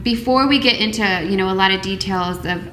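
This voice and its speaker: A woman's voice: medium pitch, brisk, pushed and bright, a little hiss or hum. Chatty woman podcast host, echoey room. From United States. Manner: chatty, many ums and restarts. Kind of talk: casual interview chat.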